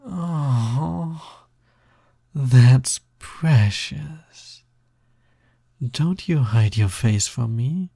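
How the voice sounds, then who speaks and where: A man acting as a smug, touch-starved naga demon in an intimate audio roleplay. The voice is mellow and breathy with a gravelly creak at the edges. He drawls softly, teasing and coaxing the listener. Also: medium pitch, drawn-out words, long pauses, no room sound, exaggerated voice. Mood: flirty, teasing. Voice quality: breathy, soft, slightly gravelly.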